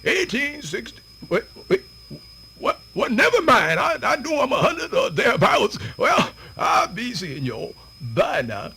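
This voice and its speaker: Radio play lead: a centenarian American yarn-spinner, spirited and folksy, bright gravelly voice, dramatic drawn-out delivery. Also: male voice, very high voice, wildly swooping pitch, heavily acted, low-quality recording. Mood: folksy, rambling. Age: older. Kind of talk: theatrical radio monologue, folksy oral storytelling.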